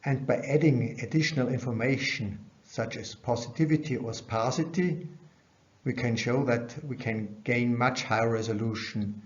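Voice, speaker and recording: Dull-sounding man giving a science lecture. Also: mid-range voice. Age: middle-aged. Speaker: researcher presenting at a conference. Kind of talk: scientific lecture over slides. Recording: dull, band-limited webcam audio.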